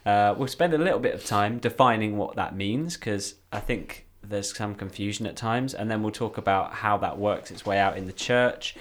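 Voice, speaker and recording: A man hosting a faith podcast in a relaxed, friendly chat with two guests. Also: lowish voice, normal speed, few pauses. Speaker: podcast host.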